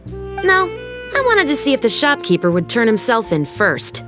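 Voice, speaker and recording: A woman's voice: fairly high pitch, very animated, normal speed, over music. A woman playing a firm, no-nonsense young patrol captain in a video game. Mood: resigned. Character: stern, assured young female patrol captain, justice-minded. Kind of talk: video game cutscene acting.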